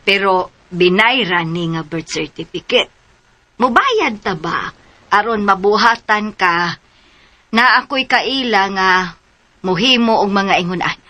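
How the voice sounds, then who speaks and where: An actress playing a practical, helpful aunt with a questioning, matter-of-fact manner in a radio drama. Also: female voice, mid-range voice, takes it slowly, long pauses, sharp tone. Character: practical, helpful older female relative of a schoolgirl.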